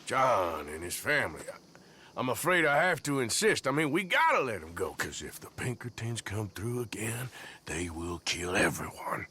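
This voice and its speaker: A man's voice: fairly low pitch, very animated, moderate pace, bright, forceful tone, a little hiss or hum. An actor playing a weary, blunt American outlaw gunman in a dramatic video game, talking in a plain-spoken, folksy way. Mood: weary, firm.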